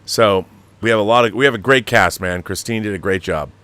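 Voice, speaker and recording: A man hosting a casual livestream, explaining things in a rambling, animated, slangy way, like a director talking shop. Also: lowish voice, brisk, clear, resonant tone. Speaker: show host and audio drama director.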